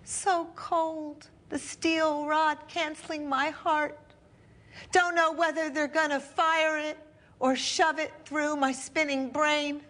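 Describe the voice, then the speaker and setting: A woman performing a stage monologue as a grieving young village woman who survived war, speaking in slow, halting, incantatory lines. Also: sits high, little pitch movement, fairly bright tone, voice pushed up. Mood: anguished.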